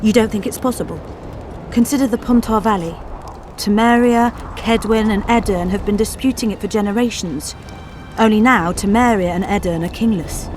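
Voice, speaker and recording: An actress playing a shrewd, drily skeptical political adviser in a video game, measured and knowing. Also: female voice, mid-range voice, lightly acted. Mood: insistent. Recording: game music behind the voice.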